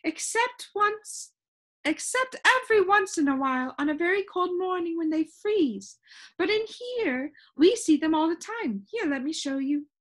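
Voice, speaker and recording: A very high-pitched, bossy storybook lady, as a woman reader acts her out. She is fussy and theatrical, and her commanding voice swoops widely from word to word. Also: expressive, a little slow, fairly bright tone, exaggerated voice. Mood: eager explaining. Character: bossy, fussy, imperious woman keeper of sounds. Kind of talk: children's storybook character voice.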